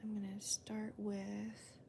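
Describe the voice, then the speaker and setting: Female crochet host, whispery and gravelly, calm and even, counts stitches aloud. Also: mid-range voice, slow, a little hiss or hum. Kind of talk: soft-spoken crochet demonstration and chat.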